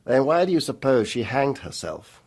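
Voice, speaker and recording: A husky, urbane, probing confidant. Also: male voice, medium pitch, very animated, a little mellow. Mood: shrewd. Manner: measured, probing, dryly ironic. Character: worldly older confidant, shrewd, urbane, philosophical. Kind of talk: radio drama acting.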